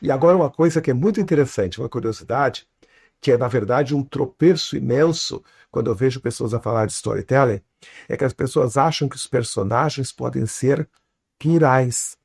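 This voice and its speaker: A man in an interview explaining storytelling with examples, speaking Portuguese learned in southern Brazil with a foreign accent and an animated teaching manner. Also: mid-range voice, normal speed, vocal fry, slightly rough. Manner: animated teacher, drops to quiet asides, tags lines with "tá?". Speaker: storytelling consultant, guest.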